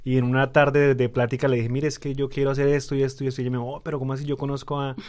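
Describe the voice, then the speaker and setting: Flat-voiced Colombian man. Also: mid-range voice, rapid-fire. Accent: Colombian Spanish. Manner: hesitant, many fillers and restarts. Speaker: radio guest. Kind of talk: casual interview talk.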